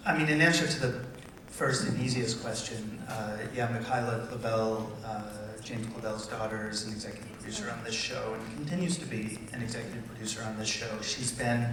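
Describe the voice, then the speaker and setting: Man, panel producer, unscripted, thoughtful and halting, level intonation, distant echoey event recording. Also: lowish voice. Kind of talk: unscripted answers at a public talk session. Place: large event hall, public presentation. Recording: very echoey hall, distant, noisy with interference.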